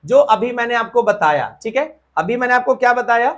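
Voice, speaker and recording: A woman's voice: on the high side, animated, normal speed. A woman with an Indian accent teaching a grammar lesson step by step, repeating key points and checking that listeners follow. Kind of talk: instructional lesson, explaining step by step.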